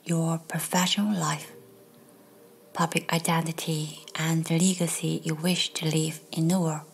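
A woman reading a scripted guided meditation in a soft, breathy, near-whispered voice, gentle and measured with long pauses. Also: deep voice, rough recording. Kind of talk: slow, soothing guided meditation, scripted narration. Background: faint music.

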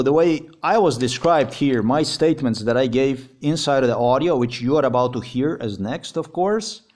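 A man with a Slovenian accent speaks English as a second language, heated and insistent. His pitch sits in an ordinary middle range. He circles back over his points, repeats words and swears as he goes. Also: a little mellow. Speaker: vlogger. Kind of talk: agitated personal account.

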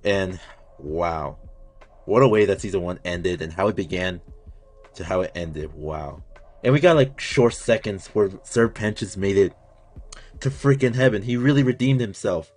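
Deep-voiced young man, rambling fan reaction. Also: normal speed, darkish tone. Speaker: reaction video host. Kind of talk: casual unscripted reaction commentary. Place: small curtained room at home, indoors.

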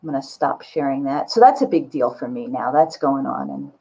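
A woman in her sixties chatting casually and expressively on a video call, with a slightly husky voice. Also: rumbling low, a little fast. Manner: expressive, exclaiming. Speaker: guest artist. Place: small indoor studio room with bookshelves.

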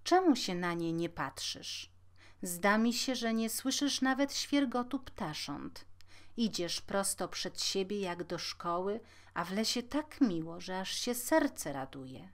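Female reader as male wolf, cunning, hungry, sweet-talking, unhurried, fairy-tale storytelling. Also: mid-range voice, big pitch swings. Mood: luring. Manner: coaxing, cunning.

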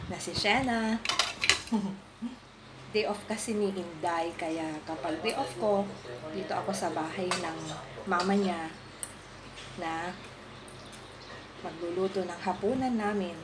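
A woman's voice: fairly low pitch, measured pace, pauses often, heavy background noise. A middle-aged woman with a Filipino accent, chatting casually at a home dinner table and quizzing her cousin, laughing and mixing Tagalog with English. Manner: playful, laughing, switching between Tagalog and English. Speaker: vlogger. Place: home dining room at a table. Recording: clattering dishes and cutlery nearby.

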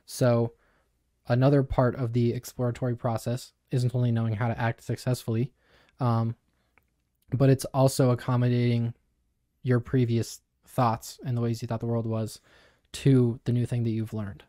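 A young man co-hosting a podcast in a small home studio, explaining ideas in a measured, even way. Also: medium pitch, no echo. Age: young adult. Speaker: podcast co-host. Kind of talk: reading a passage then casual discussion. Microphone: studio mic on boom arm.

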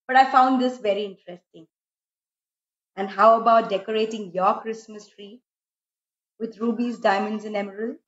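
A middle-aged woman tells a story for children in a dramatic, expressive way, with emphatic repetition. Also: on the high side. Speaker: storyteller, host. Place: small room indoors at home. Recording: roomy, slightly dull home recording.

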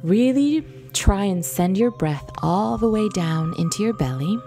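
A woman leading a guided visualization meditation in a gentle, soothing, instructive manner with long pauses. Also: mid-range voice, lively intonation. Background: background music.

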